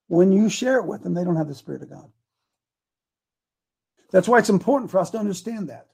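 A man's voice: high voice, vocal fry, mellow, slightly rough. A man in his seventies preaching a casual, folksy sermon, repeating his points with emphasis. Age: 70s.

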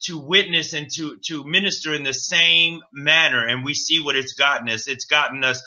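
Bright-toned, stammering man. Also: fairly high pitch, steady tone, moderate pace. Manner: stammering restarts, earnest. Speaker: co-host, radio show host. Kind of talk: religious talk.

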